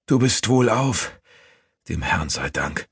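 Man acting distraught, commanding gentleman father. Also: on the low side, big pitch swings, slow pace, creaky, husky, no echo, studio quality, pitched above the performer's own voice, full character voice. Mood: shaken relief. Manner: commanding, urgent. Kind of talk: audiobook character voice.

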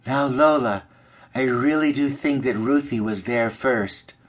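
Man doing a gentle storybook hen, soft, prim, mildly scolding, peacemaking. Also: mid-range voice, lively intonation, unhurried, breathy edge. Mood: gently reproving.

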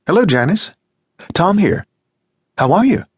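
A man voicing a guarded gentleman who keeps a forced, amused politeness in an audiobook. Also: sits high, sing-song, unhurried, slightly rough, no room sound, clean studio sound, pitched above the performer's own voice, heavily acted. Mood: forced cheer. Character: guarded polite Englishman, forcing cheer. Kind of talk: audiobook reading.

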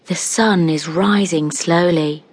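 Woman, meditation narrator, fairly low pitch, very slow pace, calm, soothing, long pauses. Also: husky. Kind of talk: scripted guided meditation, hypnotic relaxation narration.

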